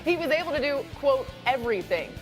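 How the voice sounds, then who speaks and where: A woman hosting a sports talk show in a lively, playful way, reading recaps and throwing to analysts. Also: sits high, brisk, soft background music. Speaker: host. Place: TV studio.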